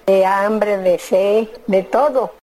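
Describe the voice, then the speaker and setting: A woman's voice: medium pitch, slow pace, dark tone. An older woman speaking in simple, devout words.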